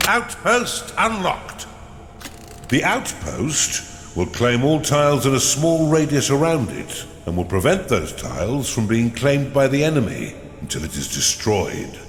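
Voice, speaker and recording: A man voicing a theatrical advisor to an evil overlord in a fantasy game, announcing formally and deliberately. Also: medium pitch, wildly swooping pitch. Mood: grand, instructive. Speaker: game narrator. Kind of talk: scripted fantasy game narration. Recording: roomy, long reverb, faint noise.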